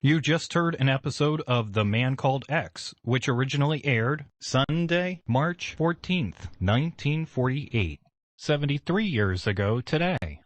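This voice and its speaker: A man's voice: mid-range voice, expressive, unhurried, no echo. A man reading a scripted continuity announcement as a radio host. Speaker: present-day radio station host.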